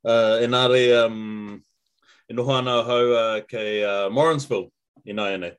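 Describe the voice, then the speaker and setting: Man from New Zealand, ecologist, fairly low voice, hesitant with fillers, video call. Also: steady tone, normal speed, smooth.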